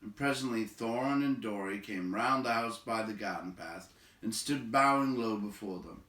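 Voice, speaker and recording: A man's voice: fairly low pitch, normal speed, fairly bright tone. A man reading a storybook aloud as narrator. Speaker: audiobook reader. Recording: echoey room, slightly far from mic.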